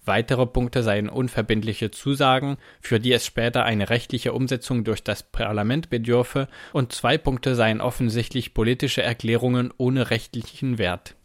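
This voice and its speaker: A man reading a radio news report in a measured, even way. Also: mid-range voice, dry recording, studio quality. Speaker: news reporter and voice-over translator. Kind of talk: scripted radio news narration.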